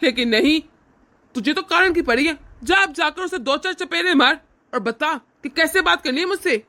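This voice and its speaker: A woman's voice: sits high, animated, moderate pace, pushed and bright. A woman voicing a harsh, domineering older man in a cartoon family drama, scornful and threatening. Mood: contemptuous. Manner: scornful, threatening, barking orders.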